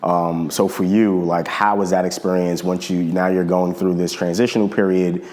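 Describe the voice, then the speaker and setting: A man's voice: sits low, little pitch movement, normal speed, darkish tone. A young man hosting an interview in a laid-back, slangy way, often repeating his words. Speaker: interview host. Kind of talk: casual interview chat.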